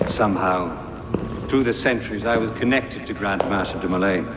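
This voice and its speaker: Man playing a deep-voiced, grandiose zealot villain. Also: lightly acted. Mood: reverent, intense. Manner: measured, grandiose, theatrical oratory. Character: calm, dying older male zealot villain, grandiose visionary. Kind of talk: dramatic video game cutscene acting. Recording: echoey long reverb, loud background noise.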